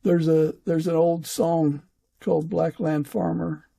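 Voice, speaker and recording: A man's voice: on the high side, level tone, a little slow, gravelly, dark tone. A man hosting a podcast, introducing and interviewing a guest. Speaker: podcast host. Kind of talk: interview, warm-up introduction and questions.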